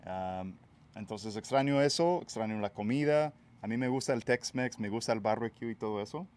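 A man's voice: lowish voice, normal speed. Texas guy hosting a podcast outside by the pool, laid back, lots of ums and restarts. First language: English. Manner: relaxed, hesitant, many fillers and restarts, asks for corrections. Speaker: podcast host. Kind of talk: casual bilingual podcast chat. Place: outdoors by a pool.

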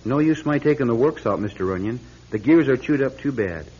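A man's voice: mid-range voice, animated, fairly quick, a little mellow, husky. An actor playing a blunt, resigned clock repairman in a radio drama. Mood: resigned, certain. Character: matter-of-fact, gloomy clock repairman. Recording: dull lo-fi radio recording.

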